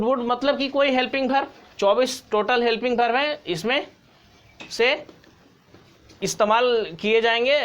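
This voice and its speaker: A man's voice: extremely high pitch, fairly bright tone. A man giving an informal tutorial as a teacher, explaining step by step and repeating key points. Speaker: grammar teacher.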